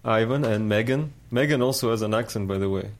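A man with a Russian accent, a guest musician on a radio talk show, easygoing and jokey, with halting phrasing. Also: fairly low pitch. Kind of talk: casual radio interview. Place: radio studio. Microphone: studio microphone.

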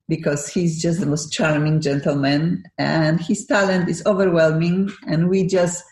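A woman's voice: sits low, a little mellow, echoey room. A woman from Poland with a Polish accent hosting a radio interview, talking effusively with some broken English. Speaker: radio show host. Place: radio studio.

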